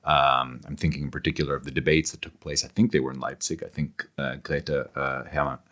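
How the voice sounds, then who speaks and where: A man speaking as a scholarly interview guest in thoughtful conversation, digressive and halting, often repeating words as he goes. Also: very deep voice, steady tone, fairly bright tone.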